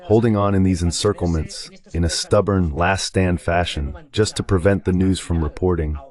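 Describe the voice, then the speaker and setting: A man with a low-pitched voice delivers dubbed political commentary. He works as the translated voice of a military analyst, setting out points in spoken commentary form. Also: fairly even intonation, moderate pace, a little mellow.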